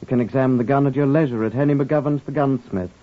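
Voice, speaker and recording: A man playing a genteel, uneasy gentleman under suspicion, speaking formally and courteously in an old-time radio crime drama. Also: medium pitch, quick pace, close to an everyday voice. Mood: indignant. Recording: dull lo-fi old radio recording.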